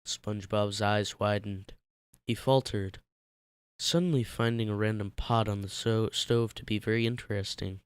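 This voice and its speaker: Low, clear male story narrator. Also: steady tone, normal speed, no echo. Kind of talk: dramatic scripted narration of fan fiction.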